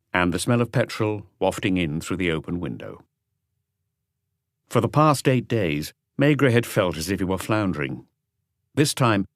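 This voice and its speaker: Low-pitched, expressive male audiobook narrator. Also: no echo, clean studio sound. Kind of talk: scripted literary narration of a crime novel.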